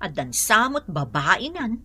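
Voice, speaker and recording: Bossy suspicious wife in radio comedy, scolding, bright dry sound, very animated. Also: female voice, mid-range voice, measured pace, soft background music. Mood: complaining. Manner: scolding, demanding. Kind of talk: comedy radio drama acting.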